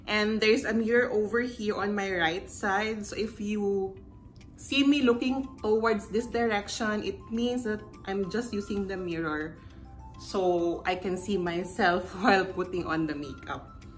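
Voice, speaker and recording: A woman's voice: mid-range voice, a little slow, faint music behind. A woman, chatting casually and rambling a little while doing her makeup for a vlog at home. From Philippines. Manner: rambling, friendly, self-correcting asides. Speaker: beauty vlogger. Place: bedroom at home, indoors. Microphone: camera in front at close range.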